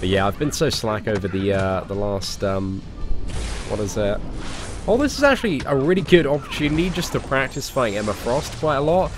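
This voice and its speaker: A man's voice: mid-range voice, lively intonation, brisk, music in the background. Chatty, stuffed-up gaming streamer man. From England. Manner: chatty, many fillers, greets viewers by name. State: nasal from a cold. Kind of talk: casual live-stream commentary.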